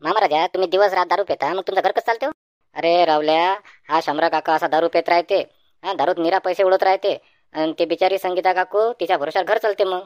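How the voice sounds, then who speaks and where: A woman's voice: lowish voice, a little fast, no echo, dull audio, lightly acted. A woman voicing a wry, wary young man in a village cartoon, speaking with a Varhadi Marathi accent. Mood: challenging. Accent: Varhadi Marathi (Vidarbha). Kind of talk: comic village cartoon dialogue.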